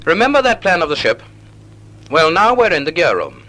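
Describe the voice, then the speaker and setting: Bright, lively British male film narrator. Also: a little high, low-quality recording. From United Kingdom. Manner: clear, measured, didactic phrasing. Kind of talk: scripted narration of a vintage naval training film.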